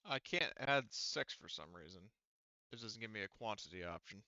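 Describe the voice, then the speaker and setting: A man speaking as game master, improvising tabletop game narration in a casual, halting, rambling way and often hedging. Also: lowish voice, lively intonation, pushed and bright, no echo, lo-fi sound.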